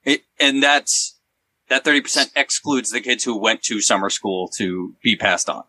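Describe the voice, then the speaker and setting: A man with a bright, sharp-edged voice at average pitch. He talks as a teacher in a loose podcast conversation, telling anecdotes in halting bursts. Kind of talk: casual podcast storytelling.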